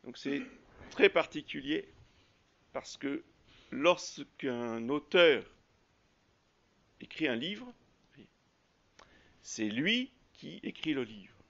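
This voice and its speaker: A man preaching a sermon as a priest, halting often with fillers and restarts. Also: fairly high pitch, vocal fry, a bit bright, muffled recording. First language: French.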